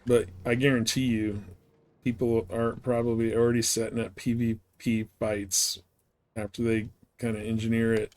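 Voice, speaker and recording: A man with a mid-pitched voice talks casually on a gaming livestream. He rambles and thinks out loud as he plays, in the loose, conversational way of someone chatting to viewers. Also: flat delivery, normal speed. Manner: rambling, thinking aloud while playing. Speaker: video game livestreamer. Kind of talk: casual gaming stream chat.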